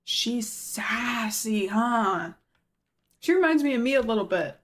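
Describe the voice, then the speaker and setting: A young woman hosting a casual game reaction video, chatty, excitable and playful. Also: on the high side, slow. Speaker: YouTube reaction host.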